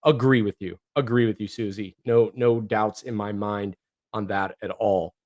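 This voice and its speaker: Hoarse man hosting a casual livestream. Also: on the low side, fairly even intonation, moderate pace, darkish tone. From northeastern Ohio. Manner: rambling, many fillers and restarts. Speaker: livestream host. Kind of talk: casual livestream chat reading comments.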